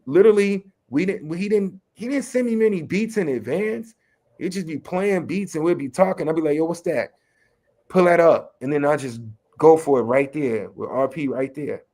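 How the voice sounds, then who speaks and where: A man from Chicago's West Side with a local accent and a slight New York tinge, a rapper and educator speaking in a relaxed, streetwise way as an interview guest. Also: on the high side, normal speed. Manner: relaxed, streetwise, lots of "you know what I mean". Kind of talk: casual interview talk.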